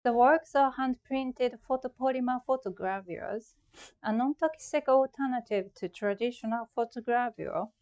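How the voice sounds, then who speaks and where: A woman's voice: a little high, normal speed, darkish tone, muffled recording. A middle-aged woman from Japan with a Japanese accent, giving a prepared, reflective talk about her own art. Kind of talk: prepared artist talk presentation.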